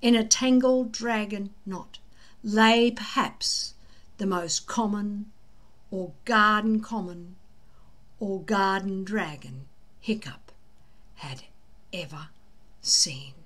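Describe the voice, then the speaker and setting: An older woman reading a children's book aloud slowly and deliberately, with dramatic emphasis. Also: mid-range voice, fairly bright tone. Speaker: storybook reader. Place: small indoor room at home.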